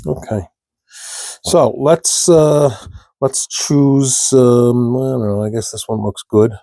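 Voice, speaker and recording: Slow, gravelly man with a froggy throat. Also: mid-range voice, fairly even intonation, warm tone, no echo. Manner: slow, halting, talks to viewers while working. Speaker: scale model hobbyist demonstrating. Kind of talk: casual hands-on tutorial.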